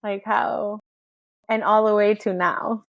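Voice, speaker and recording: A woman's voice: medium pitch, fairly even intonation, slow, creaky, muffled recording. A woman hosting a conversational interview who asks curious, rambling follow-up questions. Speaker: podcast host.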